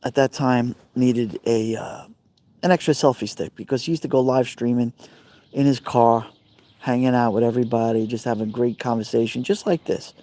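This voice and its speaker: A man's voice: medium pitch, fairly even intonation, normal speed, mellow. A middle-aged man with a Brooklyn accent, chatting on a live stream outdoors by a fire pit and answering viewers by name in a rambling, friendly way. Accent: Brooklyn New York. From Brooklyn, New York.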